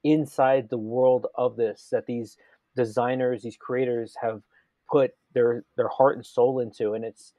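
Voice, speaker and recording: A man co-hosts a casual gaming podcast, his voice mellow and warm, sitting at mid pitch. He talks with eager enthusiasm, circling back and restarting his thoughts. The sound is dry and close, with no room around it. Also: little pitch movement, measured pace, dry recording. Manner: enthusiastic, rambling with many restarts. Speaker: podcast co-host.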